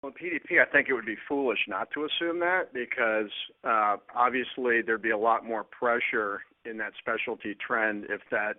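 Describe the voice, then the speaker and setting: A man, a company finance executive, answering plainly in halting phrases on an earnings call. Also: medium pitch. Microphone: conference call line. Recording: narrow phone-line sound.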